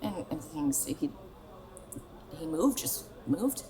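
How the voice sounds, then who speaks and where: A woman's voice: low voice, very animated, takes it slowly, warm tone, heavily acted. A young woman playing a blunt, restless, sardonic 27-year-old star in a naturalistic radio drama, probing in a conversational way. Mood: curious, probing. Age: young adult.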